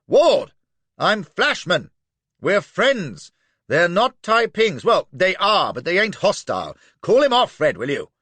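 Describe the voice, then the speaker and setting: Brief: man playing a cheeky English officer, breezy and loud, pitched up, very lively, dry close sound. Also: moderate pace, hardly any pauses, no echo, heavily acted. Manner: breezy, shouting.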